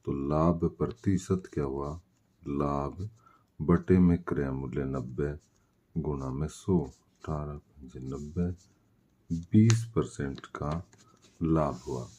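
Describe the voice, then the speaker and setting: Male tutor, slow and mellow delivery, walking through worked solutions with self-answered questions. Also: rumbling low, breathy edge. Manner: explanatory, poses questions and answers them himself. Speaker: maths teacher. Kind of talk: step-by-step worked-solution lesson.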